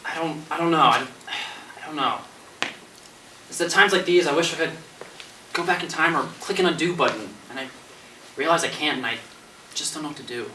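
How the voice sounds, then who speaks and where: A man's voice: fairly high pitch, brisk, pauses often, bright, forceful tone. A man playing an indignant, pedantic young survivor in an amateur zombie stage play. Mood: despairing. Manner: pedantic, protesting. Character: indignant, nitpicking young survivor accused of being infected. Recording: distant echoey stage recording with hiss.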